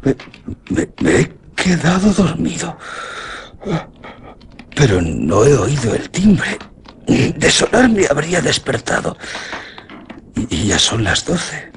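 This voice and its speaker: An actor with a Castilian accent playing a polite, inquisitive doctor in a radio suspense drama, speaking deliberately and theatrically. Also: male voice, a little high, big pitch swings, a little hiss or hum, full character voice. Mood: musing. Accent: Castilian Spanish. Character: courteous, inquisitive, calm male doctor in a suspense tale. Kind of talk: Spanish radio theatre acting.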